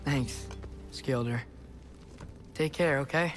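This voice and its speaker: An actor playing an earnest, gentle teenage boy warrior in a video game, sincere and soft-hearted. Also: medium pitch, slow, music in the background, lightly acted. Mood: glad, sincere. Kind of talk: video game dialogue acting.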